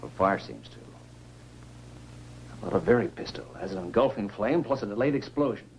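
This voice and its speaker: A man's voice: on the low side, lively intonation, brisk, gravelly, rough recording. An American actor playing a stern, pragmatic man who takes command, in 1950s science fiction film style. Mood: firm, urging. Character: stern, pragmatic man leading a monster hunt. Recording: hissy, humming 1950s film soundtrack.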